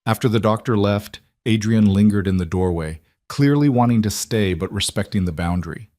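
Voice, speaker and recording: A man's voice: lowish voice, normal speed, dark tone, dry recording, studio quality. A man reading a scripted dramatic romance story as an audiobook narrator.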